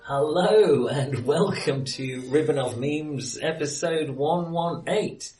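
A man hosting a film podcast in casual conversation, speaking haltingly as he searches for his words. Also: fairly high pitch, a little mellow, soft background music. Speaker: podcast host. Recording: roomy echo, distant from microphone.

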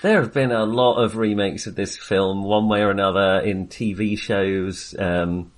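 Low-voiced man, halting podcast host. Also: steady tone. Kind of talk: casual film discussion.

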